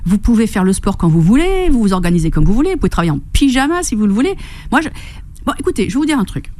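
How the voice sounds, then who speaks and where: A middle-aged woman from Corsica talks in a radio studio as an interview guest. Her voice is mellow and warm, at an everyday pitch, and she speaks with bubbly energy, laughing often and slipping into quoted voices. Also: sing-song, rapid-fire. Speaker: fitness and nutrition coach, guest.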